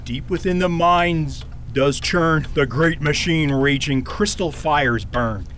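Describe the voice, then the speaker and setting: A man's voice: fairly high pitch, fairly bright tone, a little hiss or hum. A man playing an eccentric, stubborn, roly-poly old king in a theatrical radio fairy tale. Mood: mystic trance. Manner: theatrical, unhurried, chanting.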